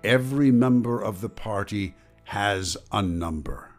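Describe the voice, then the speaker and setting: An actor playing a grave, knowing voice in a radio drama, speaking deliberately and theatrically. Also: male voice, lowish voice, faint music behind. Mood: grave, declaiming. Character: grave narrator and needling, knowing inner voice. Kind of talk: dramatic radio play narration and acting.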